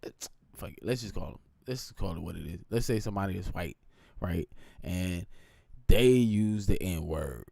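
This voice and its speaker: Male streamer, low relaxed voice, informal off-the-cuff monologue, conversational check-ins, dry close-mic sound. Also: moderate pace, vocal fry, dry recording. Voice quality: deep, low voice. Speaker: live streamer. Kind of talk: casual rambling monologue to a stream audience.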